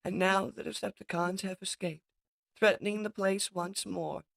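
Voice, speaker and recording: A woman's voice: mid-range voice, fairly even intonation, normal speed, vocal fry, no room sound, close to an everyday voice. Woman doing guilty robot soldier lady, subdued n earnest, dry close sound. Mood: remorseful. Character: remorseful female robot warrior, loyal and determined. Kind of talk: comic dub character acting.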